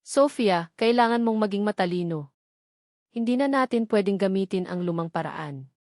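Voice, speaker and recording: A woman with a Filipino accent voicing a stern, scheming wealthy matriarch in a romance story, grave and measured. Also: mid-range voice, expressive, darkish tone, no room sound, studio quality, lightly acted. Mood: grave, disappointed.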